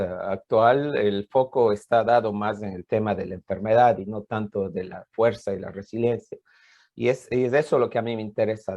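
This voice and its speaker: A man's voice: lowish voice, a little mellow. A man with an Ecuadorian accent giving an informal, explanatory conference talk as a professor, hesitant and often repeating words. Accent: Ecuadorian Spanish.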